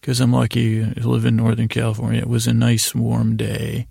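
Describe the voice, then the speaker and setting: American man doing a rambly bedtime monologue, super croaky fry, close dry sound, soothing and hesitant. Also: medium pitch, completely flat delivery, moderate pace, mellow, dry recording. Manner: soothing, lulling, meandering and hesitant. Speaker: podcast host. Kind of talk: rambling bedtime monologue to help listeners sleep.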